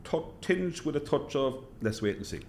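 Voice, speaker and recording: A middle-aged man with a Cork Irish accent, answering interview questions as a hospital chief executive in a measured way, repeating phrases for emphasis. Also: medium pitch, lively intonation, slight background noise. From Cork, Ireland.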